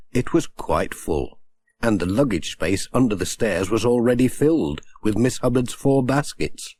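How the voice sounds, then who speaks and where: A man narrates a children's audiobook in a fairly low voice. His delivery is very lively, rising and falling expressively as he reads the scripted story.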